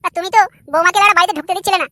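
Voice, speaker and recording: Man playing a jealous, high-voiced, crude husband. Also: steady tone, racing, sharp tone, dry recording, exaggerated voice. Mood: sneering, accusing. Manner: foul-mouthed, sarcastic. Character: jealous, crude, sneering adult son and husband. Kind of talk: comic cartoon dialogue.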